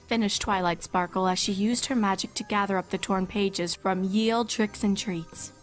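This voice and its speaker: A woman's voice: mid-range voice, steady tone, normal speed. A woman reading scripted fan fiction as a storybook narrator. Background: background music.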